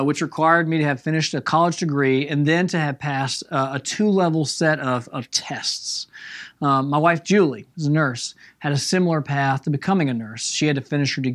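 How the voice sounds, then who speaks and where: A man, speaking as a pastor in an earnest, encouraging devotional style. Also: medium pitch. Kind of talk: devotional talk with scripture reading and prayer. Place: small studio with acoustic foam walls.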